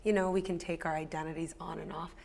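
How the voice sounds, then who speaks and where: Gravelly-voiced woman on a panel. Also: fairly low pitch, level tone, quick pace, darkish tone, a little hiss or hum. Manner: thoughtful, qualifies her points. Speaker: poet on a panel. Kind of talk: panel discussion.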